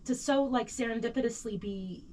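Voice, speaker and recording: A woman's voice: on the high side, normal speed, a little mellow. A woman interviewing a guest in a casual, conversational way as a radio host.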